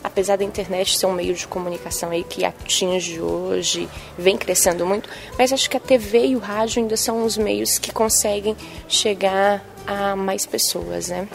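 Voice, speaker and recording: A young woman TV presenter speaks with a softened Bahian accent and a sing-song lilt. She often ends her phrases with tag questions. Her voice carries a gravelly, creaky edge, and faint music plays underneath. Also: medium pitch, fairly even intonation, normal speed. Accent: Bahian Brazilian Portuguese. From Bahia, Brazil. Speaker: TV journalist and presenter. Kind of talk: interview answers.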